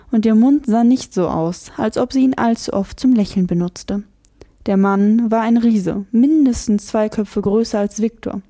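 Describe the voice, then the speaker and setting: Mellow-voiced woman narrating a storybook. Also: mid-range voice. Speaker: audiobook narrator.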